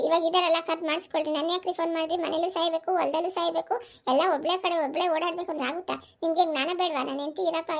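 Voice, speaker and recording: A woman voicing an overworked, sharp-tongued village housewife and mother in a family cartoon, scolding and complaining with a rural southern Karnataka accent. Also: very high-pitched. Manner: scolding, complaining, rhetorical questions.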